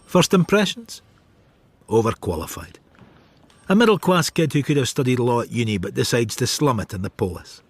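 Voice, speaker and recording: Husky, world-weary detective narrator, very dry sound. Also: male voice, medium pitch, expressive, normal speed, dry recording. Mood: wry. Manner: wry, brooding. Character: world-weary, cynical male police detective narrating his thoughts. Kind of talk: first-person radio drama narration.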